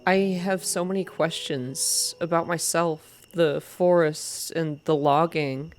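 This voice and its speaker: A woman's voice: low voice, steady tone, slow pace. A woman voicing an earnest, determined young heroine of a story game, with music behind. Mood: searching.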